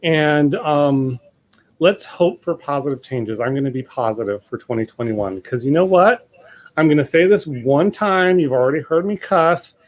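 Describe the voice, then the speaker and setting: A man's voice: on the high side, animated, moderate pace. Chatty man hosting a live cooking demo. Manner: chatty, rambling, full of fillers and restarts; says he has a loud voice. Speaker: cooking show host.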